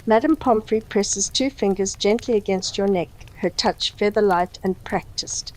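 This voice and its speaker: A woman's voice: mid-range voice, normal speed, a little mellow, low-quality recording. A woman reading a scripted story as its narrator.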